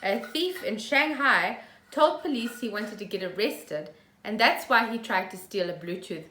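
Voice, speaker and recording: This woman talks with lots of rise and fall in a medium-pitched voice. The recording has clear room echo and a little background noise. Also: lively intonation, a little slow, pushed and bright. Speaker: podcast co-host. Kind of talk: casual chat. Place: room at home.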